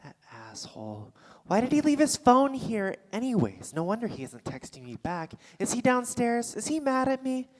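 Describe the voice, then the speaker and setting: A woman's voice: fairly high pitch, very animated, moderate pace, darkish tone. Rattled, defensive woman in echoing theatre. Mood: defiant, irritated. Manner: indignant, sarcastic, stammering when rattled. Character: Lindsay, defensive, rattled woman having a secret affair. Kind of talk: live staged play reading. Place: theatre stage before a live audience. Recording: live theatre stage, long hall reverb.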